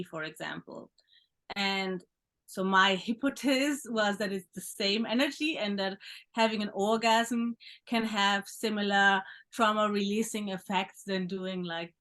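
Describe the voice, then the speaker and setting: Woman host, mid-pitched and reflective, soft-spoken. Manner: reflective, gentle, with occasional soft laughs. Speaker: podcast host, sexological bodyworker. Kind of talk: conversational interview.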